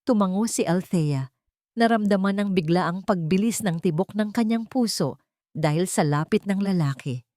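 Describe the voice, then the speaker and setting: A woman with a Filipino accent giving a measured, expressive narration of a scripted romantic audio drama. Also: mid-range voice, no room sound, studio quality.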